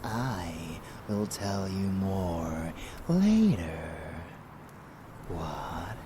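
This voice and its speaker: A man playing a haughty, seductive and menacing vampire lover in an intimate ASMR roleplay, with breathy, teasing murmurs. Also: low voice, sing-song, background noise, heavily acted. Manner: breathy whispering murmurs, slow and deliberate, teasing.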